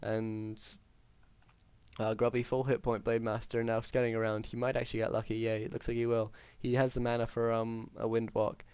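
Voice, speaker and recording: A man calling a strategy game match alone, talking through plays with many ums, his voice hoarse after hours of casting. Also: medium pitch, normal speed, dry recording. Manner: steady, filler-heavy analytical play-by-play. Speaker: game caster. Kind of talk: solo esports play-by-play commentary.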